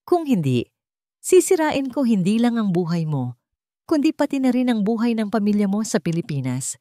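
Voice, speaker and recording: A woman's voice: mid-range voice, sing-song, normal speed, mellow, dry recording, pitched above the performer's own voice, close to an everyday voice. Haughty rich villainess, acted by a woman narrator, possessive, menacing, emphatic, audio drama, dry close sound.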